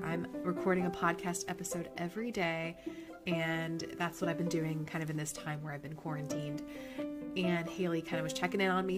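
A woman hosting her own podcast, talking in a casual, upbeat and encouraging way with a slightly nasal voice. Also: on the low side. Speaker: podcast host. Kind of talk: casual motivational monologue.

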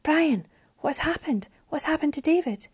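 A woman voicing a gentle young storybook heroine, emotional, anguished and pleading. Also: mid-range voice, moderate pace, slightly rough, close to an everyday voice. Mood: shocked, pleading.

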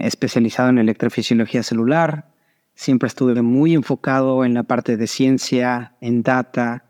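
Mexican guy being interviewed, middle aged, normal pitch, chatty, drops english startup jargon. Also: darkish tone. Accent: Mexican Spanish. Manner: mixes in English business terms. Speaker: podcast guest, startup founder. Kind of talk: conversational interview answer.

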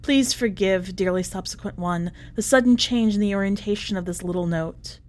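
A woman voicing a polite, chatty, quietly unhinged prisoner writing a letter, in a prim manner, as a dramatic story reading. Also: medium pitch, moderate pace, lightly acted. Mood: polite, confiding. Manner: prim, ornate, politely understated phrasing.

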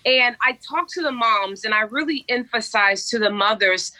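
A woman in her forties, a coach speaking as a guest in an interview, energetic and emphatic as she tells stories. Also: on the high side, normal speed, low-quality recording. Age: 40s. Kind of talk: interview conversation.

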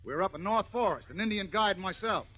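An earnest outdoorsman hero in an old radio adventure. He speaks high for a man, with lively swings of pitch and emphatic reasoning, on a muffled vintage recording. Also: fast talker, slightly rough. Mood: earnest, insistent. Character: earnest outdoorsman hero defending his dog. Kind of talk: 1950s radio drama acting.

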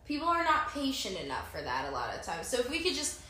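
A young woman co-hosting a casual chat in a playful, teasing, unhurried way. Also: on the high side, wildly swooping pitch, echoey room, a crowd in the background. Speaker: podcast co-host.

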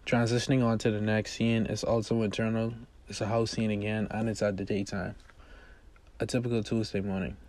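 A man's voice: lowish voice, little pitch movement, fairly quick, a little mellow. A man reading a movie script aloud, narrating scene headings and action as its amateur writer.

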